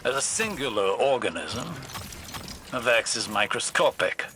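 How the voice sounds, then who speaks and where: A man playing a grave, scholarly sci-fi researcher in a video game, measured and formal. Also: medium pitch, expressive, noisy background, natural voice. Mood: curious, pensive. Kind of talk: scripted video game dialogue. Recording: music behind.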